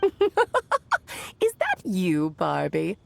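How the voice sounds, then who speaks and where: A woman's voice: fairly low pitch, huge pitch swings, extremely slow, creaky, full character voice. A woman playing a grand, playful witch storyteller in a fantasy game, theatrical, coy and amused. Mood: delighted, teasing. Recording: faint music behind the voice.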